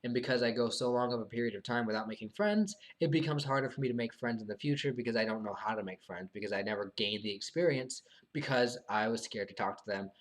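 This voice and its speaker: A young man live streaming alone, answering viewer questions in a hesitant, halting way with many fillers, his voice tiring from long talking. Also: mid-range voice. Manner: soft, subdued, earnest. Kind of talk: casual live stream monologue.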